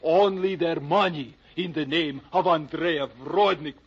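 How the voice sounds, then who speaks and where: An actor in a 1940s radio drama playing an excitable Bulgarian official, with an accent, mangled English and melodramatic exclamations. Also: male voice, a little high, unhurried, breathy edge. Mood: aggrieved, agitated. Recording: muffled old radio recording.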